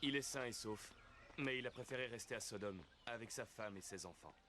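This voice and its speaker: A man voicing a weary, blunt patriarch husband in an animated Bible drama. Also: medium pitch, a little fast, slight background noise, lightly acted. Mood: grave.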